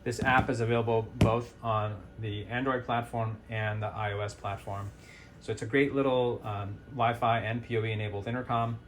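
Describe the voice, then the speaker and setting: A man's voice: lowish voice, fairly even intonation, moderate pace, background noise. A man presenting an informal step-by-step product tutorial in a plain-spoken, hands-on, instructional way.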